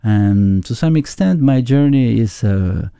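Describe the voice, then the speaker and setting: A man with an Argentine Spanish accent and a gravelly, very dark voice. He talks in a low register, thoughtful and halting, reaching for the right words as a musician guest in an interview. The sound is close and dry. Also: animated, dry recording. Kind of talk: casual interview conversation.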